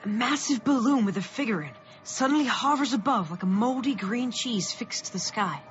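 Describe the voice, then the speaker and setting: Woman doing nervous careful 13 yr old boy, highish voice, radio play, lo-fi with music loud behind. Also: normal speed, natural voice. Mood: hushed awe. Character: Will, anxious cautious thirteen-year-old small-town boy. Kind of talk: radio drama acting. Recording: dull lo-fi audio under loud music.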